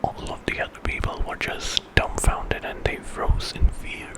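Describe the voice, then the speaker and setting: A man telling a story in whispered ASMR style, informally, as if making it up with casual asides. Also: measured pace.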